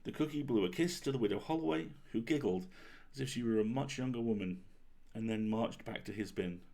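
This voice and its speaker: Gravelly man reading a bedtime story. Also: mid-range voice, brisk, dark tone. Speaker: storyteller, livestream host.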